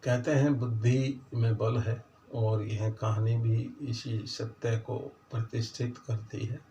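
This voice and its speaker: A man reading a moral story for children in a plain, halting way, with little change for the characters. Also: mid-range voice, level tone, dark tone. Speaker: storyteller, channel host. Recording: distant mic, echoey room, dull, faint noise.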